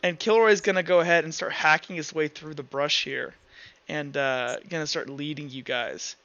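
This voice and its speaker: A man's voice: a little high, steady tone, moderate pace, pushed and bright, highs cut off. A man narrating an improvised tabletop roleplaying game as the game master.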